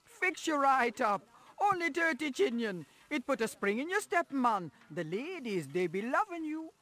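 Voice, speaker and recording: A woman's voice: high voice, big pitch swings, unhurried, a bit bright, heavily acted. A woman voicing a cheerful food-stall cook in a video game, with a heavy Jamaican accent and sing-song sales patter. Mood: cheerful sales pitch.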